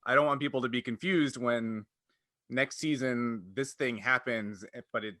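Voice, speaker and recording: A man's voice: mid-range voice, fairly even intonation, moderate pace, pushed and bright, no echo. A man hosting a podcast, explaining things casually and acting out quotes as he goes. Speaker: podcast host and game master.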